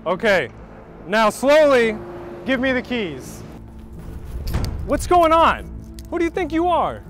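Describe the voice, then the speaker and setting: Menacing, high-pitched fake cop. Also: male voice, very animated, fairly quick, fairly bright tone, soft background music. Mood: angry. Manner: clipped, commanding. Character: menacing impostor policeman, curt and bullying, middle-aged. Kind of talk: scripted short-film acting. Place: outdoors on street and station platform.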